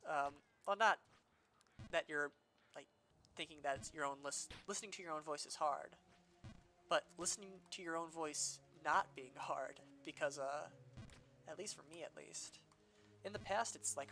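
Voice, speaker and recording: A man's voice: fairly high pitch, gravelly, bright, forceful tone, slight background noise. A young man streaming a game, chatting casually in a rambling, self-deprecating way with many fillers, his voice slightly nasal from allergies. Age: young adult.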